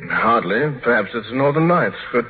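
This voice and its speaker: A calm, loyal gentleman's friend played by a man in a vintage radio drama. His medium-pitched voice has a very animated range. The recording is tinny and faintly noisy. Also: moderate pace, close to an everyday voice. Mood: calm, concerned. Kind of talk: 1950 radio drama acting.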